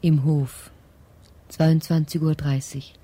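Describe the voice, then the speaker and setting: A woman's voice: low voice, little pitch movement, takes it slowly, breathy edge, exaggerated voice. A woman narrating a bleak radio play in short, detached scripted lines. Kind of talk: sparse scripted scene narration. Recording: loud background noise.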